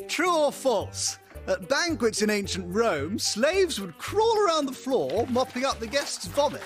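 An actor playing a Roman soldier who reads quiz questions with dry, mocking punchlines. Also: male voice, very high-pitched, big pitch swings, measured pace, music in the background, exaggerated voice. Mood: wry.

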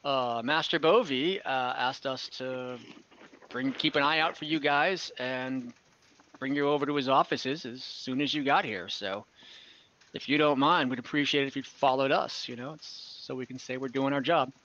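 Game master, young man, bright voice, loose rambling narration, dull call audio. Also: mid-range voice, normal speed. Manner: relaxed, rambling narration with many fillers. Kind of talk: narrating a tabletop game over video call. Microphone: headset on a video call.

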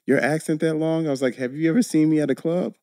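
Lively laughing man telling stories. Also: on the high side, quick pace, dry recording, clean studio sound. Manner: animated storyteller, laughs often. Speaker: guest, actor and performer. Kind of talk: casual interview chat. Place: podcast studio. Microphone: studio mic on boom arm, headphones.

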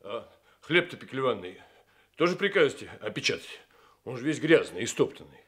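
An actor in a Soviet radio drama playing a gruff, servile police underling with a barking, clipped delivery. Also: male voice, medium pitch, big pitch swings, fairly quick, a bit bright. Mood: dutiful, servile. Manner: barking, clipped, deferential to his superior.

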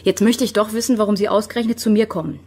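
A guarded young woman in a radio play, acted by a woman. Her medium-pitched voice has a husky, breathy grain. She stays composed and evasive. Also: steady tone, fast, a little mellow, natural voice. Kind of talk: German radio drama acting.

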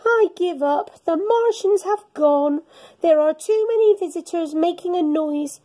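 A woman's voice: very high-pitched, measured pace, warm tone, voice pushed up. A woman voicing an eager, polite small boy who explores space in a children's storybook. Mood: dejected.

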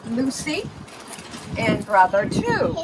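A middle-aged woman hosting a storytime for young children, explaining things simply and haltingly, recorded outdoors by a camera a little way off. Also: fairly high pitch, big pitch swings. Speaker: children's farm storytime host. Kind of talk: unscripted show-and-tell for young children. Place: outdoors in a backyard farm pen. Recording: noisy outdoor recording with clicks.

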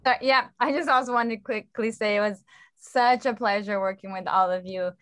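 A woman's voice: medium pitch, moderate pace, a bit bright. Appreciative young woman on a video call. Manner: appreciative, earnest. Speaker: play director. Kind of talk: informal thanks to a cast. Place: indoor room on a video call.

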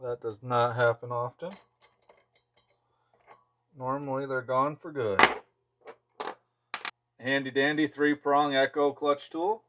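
A man with a mid-pitched voice talks casually through hands-on work in an indoor workshop. He speaks in short, offhand phrases, like a mechanic explaining as he goes. Background noise and small clicks of handled parts sit behind his voice. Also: fairly even intonation, takes it slowly, pauses often, a bit bright. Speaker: chainsaw mechanic and video host.

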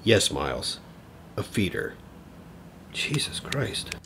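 An actor playing a flustered, needy middle-aged father in an audio play, with a husky voice and a hesitant, stammering way of talking. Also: lowish voice, big pitch swings, slow pace, pushed and bright, heavily acted. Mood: anxious, dejected. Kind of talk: naturalistic audio play acting.

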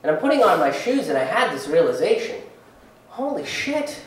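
A man in his thirties performing a stand-up comedy set on a theater stage, telling a story and acting out other people's lines with big jumps in pitch. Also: very animated, normal speed. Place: theater stage in front of a curtain, live audience. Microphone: handheld mic. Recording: roomy hall audio from a distance.

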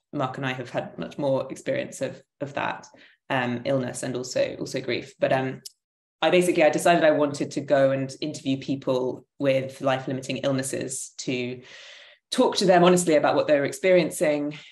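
Female guest, thirties, deep for a woman, informal hesitant explainer, roomy video-call sound. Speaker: panel guest, theatre maker. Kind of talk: conversational explanation of own work. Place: room at home. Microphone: computer mic on a video call. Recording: echoey room on video call.

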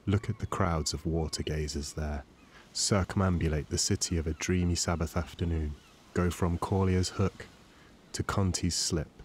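A man with a husky voice reads a literary novel as an audiobook narrator, in a measured, dramatic storytelling manner. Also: deep voice, a little mellow, slight background noise. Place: dry studio voice-over recording.

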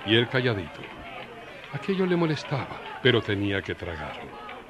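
A man's voice: lowish voice, big pitch swings, moderate pace, muffled recording, a crowd in the background, background noise. A man narrating a scripted story in a colloquial, storytelling style.

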